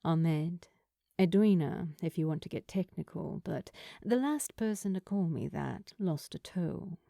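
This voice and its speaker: Woman doing a low, cool, flirtatious female bartender. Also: expressive, unhurried, gravelly, dark tone, no echo, clean studio sound. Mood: teasing. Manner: laid-back, confident, teasing. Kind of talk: audiobook character dialogue.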